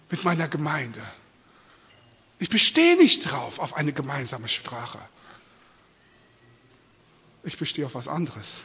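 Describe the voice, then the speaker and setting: A man with Spanish as his mother tongue preaches in an informal, questioning way. His voice sits high and swings widely in pitch, with a rough, husky grain. It rings through a reverberant hall. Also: sharp tone. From Australia-born, mother from Peru, living in Germany. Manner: engaged, questioning, often adding rhetorical check-ins. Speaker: preacher addressing a congregation. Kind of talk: informal, conversational sermon in simple words. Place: large echoing indoor hall, speaking to an audience.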